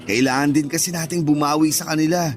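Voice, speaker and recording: Guy acting young filipino boyfriend in radio drama, quite high voice, placating, defensive. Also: steady tone, quick pace, natural voice. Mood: casual, eager. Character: defensive, eager-to-please young boyfriend, a content creator. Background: music.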